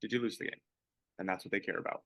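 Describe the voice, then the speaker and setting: A young man who plays pro baseball, co-hosting a podcast and chatting in a relaxed, rambling way. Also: low-pitched, racing, vocal fry, no room sound. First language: English. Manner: relaxed, rambling, many restarts. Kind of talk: casual podcast chat.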